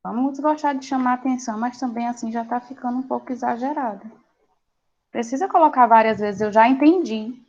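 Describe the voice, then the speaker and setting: A woman teaching a science class online, giving firm, brisk directions with affectionate nicknames over a video call. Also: on the high side, a little mellow. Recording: dull lo-fi call audio.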